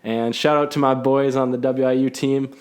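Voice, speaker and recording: A man's voice: mid-range voice, little pitch movement, moderate pace, darkish tone. A young man hosting a casual podcast chat, teasing his guest and laughing often. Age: young adult. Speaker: podcast host.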